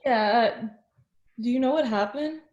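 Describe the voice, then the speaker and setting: A woman's voice: on the high side, measured pace, darkish tone, close to an everyday voice. Dull-sounding, curious teenage girl played by a woman. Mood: curious, teasing. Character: casual, curious teenage high school girl. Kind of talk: table read of a film script.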